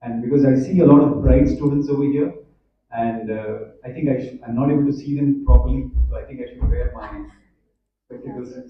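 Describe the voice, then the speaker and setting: A man's voice: medium pitch, a little fast. A man with an Indian English accent giving a conference talk to students, chatty and full of fillers, often asking the audience questions. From Hyderabad, India. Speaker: conference speaker. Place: large echoey college hall. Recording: echoey hall, distant, dull.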